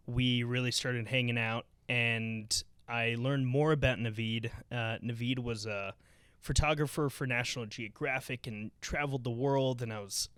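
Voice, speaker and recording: A man's voice: medium pitch, fairly bright tone, smooth, no echo. A young man hosting a casual podcast from his couch, talking loosely with lots of fillers and restarts. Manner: chatty, rambling with many fillers. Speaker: podcast host. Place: home living room.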